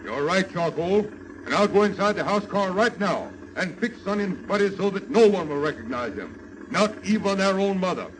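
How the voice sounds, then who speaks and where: An actor playing a bossy, smooth-talking medicine show kidnapper in a coaxing showman's manner, in 1930s radio serial style. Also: high voice, expressive, moderate pace, low-quality recording. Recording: old radio serial recording.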